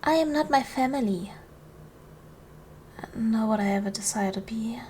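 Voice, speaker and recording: A woman playing a gracious, commanding duchess in an ASMR roleplay, speaking in a formal, measured, soothing way to a servant she protects. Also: a little high, a little mellow, a little hiss or hum, close to an everyday voice. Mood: gentle, reassuring. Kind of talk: ASMR roleplay, soft formal period speech.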